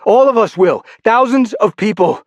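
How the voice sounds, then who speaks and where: A man reading an audiobook voices the young hero, shouting urgently. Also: a little high, animated, normal speed, vocal fry, dry recording, pitched above the performer's own voice, heavily acted.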